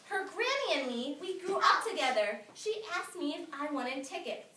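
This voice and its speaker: Woman voicing an animated, high-pitched storybook grandmother. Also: moderate pace. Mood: warm, affectionate. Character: kindly, firm, encouraging grandmother in a storybook. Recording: echoey room, distant mic, noisy.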